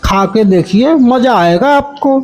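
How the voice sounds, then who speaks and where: A man's voice: extremely high pitch, expressive, takes it slowly, a little mellow, heavily acted. A man voicing a greedy village samosa seller who sweet-talks his customers. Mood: wheedling. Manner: smooth-talking, wheedling salesman.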